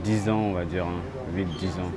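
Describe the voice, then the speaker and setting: A young man from France with a low voice, answering a street interviewer. He speaks in halting bits and slides between French and Lingala. Traffic and city noise run under his voice. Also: flat delivery. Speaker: rapper guest.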